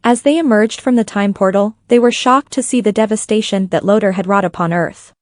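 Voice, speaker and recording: A woman's voice: mid-range voice, fairly quick, no room sound, studio quality. A woman reading scripted fan fiction as a story narrator.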